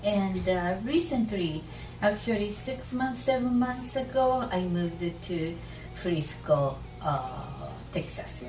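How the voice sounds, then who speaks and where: A woman in her sixties from Japan, speaking English with a Japanese accent as a guest in an interview, pausing often with "uh" while she searches for words. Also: mid-range voice, lively intonation, a little mellow. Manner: halting, many "uh" fillers, searching for words. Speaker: guest, esthetician. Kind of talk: casual interview. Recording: roomy echo, distant mic, music behind.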